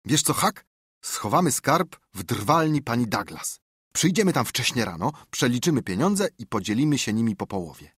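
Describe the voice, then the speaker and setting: A man voicing an eager, bossy boy adventurer who talks with raised, breathless enthusiasm and a husky tone. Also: mid-range voice, very animated, normal speed, a bit bright, no echo, clean studio sound.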